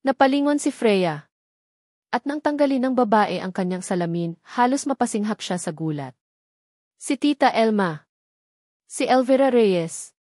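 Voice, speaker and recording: A woman's voice: a little high, lively intonation, normal speed, long pauses, a little mellow, dry recording, clean studio sound. A woman narrating a scripted dramatic story.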